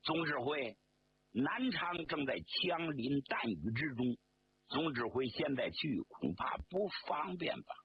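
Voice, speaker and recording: A courteous, resolute army commander, acted by a male storyteller. He speaks slowly and formally, in a raised, animated voice. The sound is dull and lo-fi. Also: a little high. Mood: firm. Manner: formal, measured, persuasive. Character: courteous, resolute regimental commander, respectful but firm. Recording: dull lo-fi sound, faint noise.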